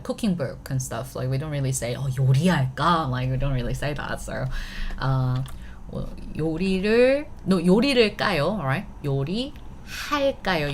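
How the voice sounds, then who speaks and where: Chatty Korean teacher with lower voice. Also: female voice, a little hiss or hum. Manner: chatty, checks in often with "right?"; switches between English and Korean. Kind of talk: live-streamed language lesson.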